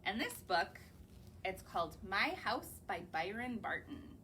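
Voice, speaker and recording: Animated sing-song woman reading to kids. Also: mid-range voice, measured pace, a little hiss or hum. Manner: sing-song, asks the listening children questions. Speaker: children's librarian. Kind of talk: reading picture books aloud to young children. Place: public library.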